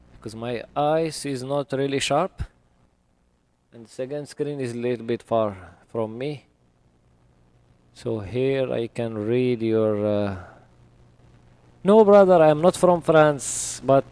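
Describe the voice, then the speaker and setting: A man with English as his third language, live-streaming his trading and talking through it slowly with many fillers at a home desk. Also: mid-range voice, a little mellow. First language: not English. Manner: slow, hesitant, many fillers.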